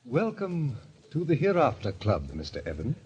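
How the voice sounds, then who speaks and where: An actor playing a suave, sinister middle-aged club president in old radio style, with pompous, florid, genteel phrasing. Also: male voice, mid-range voice, huge pitch swings, moderate pace, a little mellow, husky, exaggerated voice. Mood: genial, condescending. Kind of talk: 1940s radio acting. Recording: dull, lo-fi vintage radio recording.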